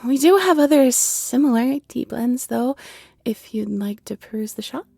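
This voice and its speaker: Actress as bashful young fairy tea seller, giggly and flustered. Soft, gently creaky voice, close and dry, faint music behind. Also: female voice, on the high side, moderate pace, dry recording. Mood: hesitant, hopeful. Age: 20s. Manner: nervous stammering, giggly, apologetic. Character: shy, flustered young half-fairy woman running a tea shop. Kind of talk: scripted audio roleplay, intimate and conversational.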